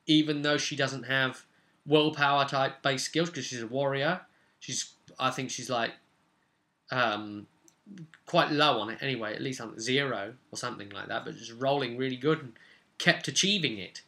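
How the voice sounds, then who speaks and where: A man working as a game master, giving a casual, unscripted recap of a tabletop role-play session in a chatty, animated storytelling manner. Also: medium pitch.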